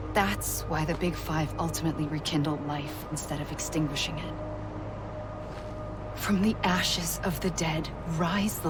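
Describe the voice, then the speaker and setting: An actress voicing a grave, haunted woman in a video game cutscene, speaking quietly and intimately, often close to a whisper. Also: on the low side, close to an everyday voice. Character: grave woman who founded a network, haunted. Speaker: game character. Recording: game cutscene with loud score. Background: orchestral game music.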